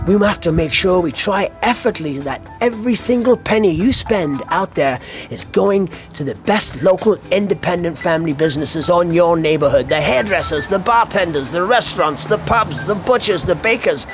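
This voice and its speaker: Scottish man, high-pitched voice, comic podcast monologue, theatrical, sweary, slangy, clear music bed underneath. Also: animated, normal speed. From Scotland. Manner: foul-mouthed, theatrical, colourful slang. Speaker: podcast host. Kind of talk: comic monologue rant to listeners.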